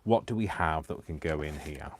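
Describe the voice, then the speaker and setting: A man from Coventry with a Coventry English accent softened by years in Yorkshire, chatting and teasing himself as he demonstrates crafts live. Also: rumbling low, fairly quick, slight background noise. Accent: Coventry English, worn by years in Yorkshire. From England. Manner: chatty and self-mocking, talks to himself and the viewers. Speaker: crafting livestreamer.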